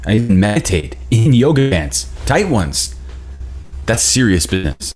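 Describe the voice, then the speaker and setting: A man's voice: lowish voice, very animated, moderate pace, darkish tone, soft background music. A man at a table read playing an earnest, self-deprecating leader of a peer support group, pleading in manner.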